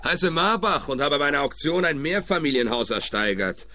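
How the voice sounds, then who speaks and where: A brusque, matter-of-fact property investor in a radio play, waving off talk of ghosts with curt impatience. His voice sits a little higher than most men's. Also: very animated, moderate pace, bright, forceful tone. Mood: brisk.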